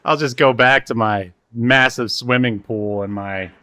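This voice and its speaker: A man's voice: mid-range voice, normal speed, sharp tone. A man co-hosting a podcast in casual, unscripted chat, hesitant and understated with dry humour. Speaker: podcast co-host.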